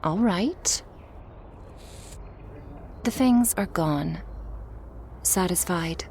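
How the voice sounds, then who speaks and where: Woman acting a slow, taunting vampire. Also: lowish voice, animated, a little mellow, a little hiss or hum, full character voice. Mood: menacing, teasing. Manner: teasing, provoking, smug. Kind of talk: scripted roleplay audio drama.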